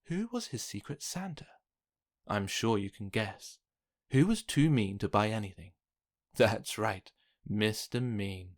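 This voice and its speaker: A man narrating a children's picture book, reading aloud with expressive, dramatic emphasis. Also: fairly low pitch, a little slow. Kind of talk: scripted storybook narration for children.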